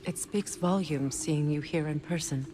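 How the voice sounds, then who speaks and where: A woman's voice: sits low, a little slow, mellow, slight background noise. A woman playing a gracious, solemn regal figure in a fantasy video game, formal and composed.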